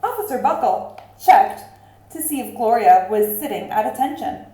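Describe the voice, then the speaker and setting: A woman reading aloud expressively to children like a teacher, deliberate and addressing them directly. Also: fairly high pitch, expressive, dark tone. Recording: roomy, echoing sound.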